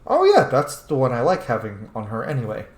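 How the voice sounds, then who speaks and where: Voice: medium pitch, huge pitch swings, fairly quick, echoey room. A video game streamer giving casual let's play commentary, informal and sweary, thinking aloud.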